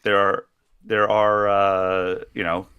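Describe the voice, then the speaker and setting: A man with a fairly low voice chats loosely on a livestream and laughs a lot. His rambling talk has many restarts. The sound is dry and close, with no room behind it. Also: little pitch movement, slow, clear, resonant tone, no echo, muffled recording. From Maryland, USA. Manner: chatty, rambling, laughs easily. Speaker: magazine livestream co-host. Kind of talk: casual chat.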